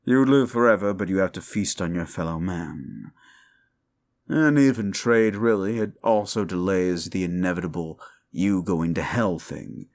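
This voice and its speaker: A man playing a cruel, sneering demon in an ASMR roleplay, sardonic and condescending, with a gravelly voice. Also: low voice, a little slow, a little mellow. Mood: smug, bargaining. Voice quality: gravelly, creaky. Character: cruel, sneering male demon bargaining for wishes. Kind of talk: scripted ASMR roleplay addressed to the listener.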